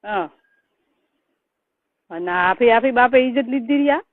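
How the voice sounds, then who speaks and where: A woman's voice: a little high, lively intonation, unhurried, no room sound. A woman with a Marwari accent chatting casually on a private phone call in a blunt, gossipy way. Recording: tinny, narrow phone-call recording.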